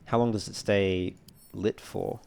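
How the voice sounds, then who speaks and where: A man's voice: on the low side, steady tone, measured pace, vocal fry, a little mellow. A man giving casual commentary on a video game walkthrough, thinking aloud as he reads through menus. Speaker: let's player.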